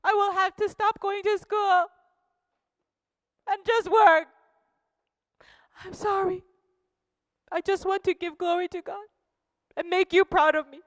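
Skit role: earnest young male teacher, played by a woman, very high voice, slow and dry, sighs and prays aloud. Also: fairly even intonation, no echo, long reverb tail.

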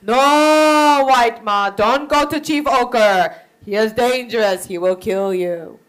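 A woman voicing a protective male village chief in a children's story, warning loudly and urgently. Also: a little high, slow, rough recording.

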